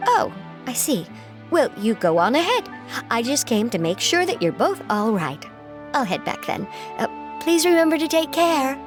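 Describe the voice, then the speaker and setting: Game townswoman: high, very animated, earnest and gossipy, faint music underneath. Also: normal speed. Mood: polite. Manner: expressive, emphatic, dramatic storytelling. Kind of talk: video game character acting.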